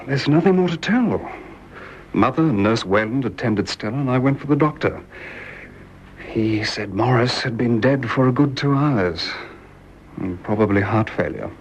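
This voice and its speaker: An actor playing a shaken, restrained young gentleman in a period radio drama. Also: medium pitch, expressive, moderate pace, highs cut off, slight background noise, close to an everyday voice. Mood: shaken, grieving.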